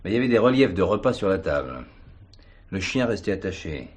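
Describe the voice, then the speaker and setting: An actor playing a dogged police commissioner who reasons through hunches in a hesitant, conversational way, in a television drama. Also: male voice, sits low, big pitch swings, brisk, slight background noise. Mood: reflective. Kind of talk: French television drama acting.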